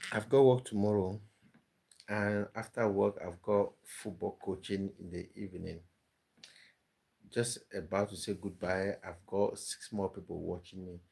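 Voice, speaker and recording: A man's voice: fairly low pitch, steady tone, measured pace, vocal fry, long pauses, a little mellow. Chatty man hosting a late live stream. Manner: chatty, laughing, answers viewer comments one by one. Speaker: live-stream host reading chat. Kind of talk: casual live-stream chat.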